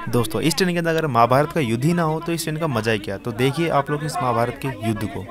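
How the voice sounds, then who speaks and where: A man talks quickly and casually to his viewers while riding a packed train and standing on crowded platforms. His voice sits at an ordinary middle pitch. A loud crowd and constant train noise fill the background. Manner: chatty, addresses viewers as brothers. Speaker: travel vlogger. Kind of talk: casual travel vlog narration. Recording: noisy crowded train recording.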